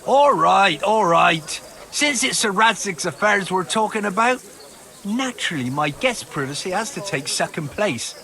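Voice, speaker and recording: A man's voice: sits high, animated, measured pace, gravelly, bright, forceful tone, exaggerated voice. A man playing a cagey, self-important medieval innkeeper in a video game, huffy, gossipy and obsequious to rank. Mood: grudgingly deferential. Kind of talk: video game cutscene acting.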